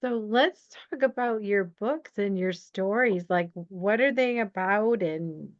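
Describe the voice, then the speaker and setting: Female interviewer, mid-pitched, conversational, on a slightly muffled, dry lo-fi recording. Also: slow, dry recording. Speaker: podcast host interviewing a guest. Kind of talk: casual interview, brief supportive responses. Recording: dull lo-fi audio, dry.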